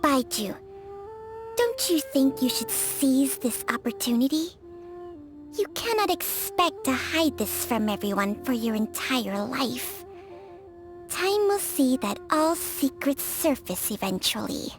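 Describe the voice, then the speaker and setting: A woman's voice: high-pitched, sing-song, slow pace, over music, exaggerated voice. A woman voicing a proud, ancient female snake spirit in a video game, formal, haughty and admonishing. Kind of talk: video game character acting.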